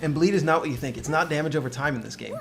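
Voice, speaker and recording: A man's voice: on the high side, rapid-fire, vocal fry. A man giving casual, analytical commentary on a game as he plays, explaining things as he goes. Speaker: gaming commentator. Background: game music.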